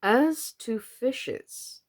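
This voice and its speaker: A domineering storybook queen, all clipped orders and royal impatience, acted out by a woman. Her voice leaps from high, loud demands to low, grave mutters, never settling. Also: lively intonation, drawn-out words, full character voice. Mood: solemn. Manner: barking sharp commands.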